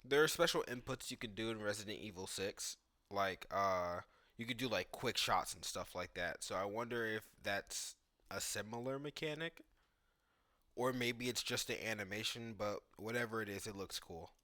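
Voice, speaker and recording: A man's voice: fairly low pitch, moderate pace, sharp tone, dry recording, studio quality. A man giving casual, analytical commentary on video game footage.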